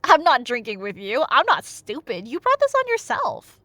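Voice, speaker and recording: Animated, high-voiced woman storyteller, playfully teasing. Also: normal speed, no room sound. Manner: playful, teasing, conversational with dramatic emphasis. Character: nerdy girlfriend excitedly retelling Greek myths. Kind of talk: animated casual storytelling roleplay to a listener, ASMR audio.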